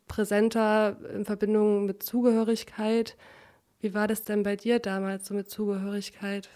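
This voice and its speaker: A woman podcast host who speaks calmly and leads into clips and questions with scripted transitions. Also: mid-range voice. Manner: calm, even, scripted transitions. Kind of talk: podcast moderation.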